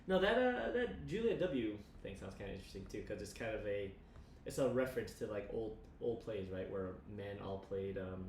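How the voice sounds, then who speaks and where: A man chatting casually as co-host of a livestream, rambling excitably and often repeating his phrases. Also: on the low side, moderate pace, distant mic. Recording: roomy echo, faint background noise.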